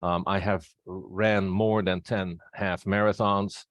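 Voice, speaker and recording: A middle-aged man with a Dutch accent speaks in a low voice. He is a native Dutch speaker presenting a personal introduction to a group. The recording is dry, with little room sound. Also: little pitch movement, slow, dry recording. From Netherlands. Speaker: presenter, district governor-elect and pastor.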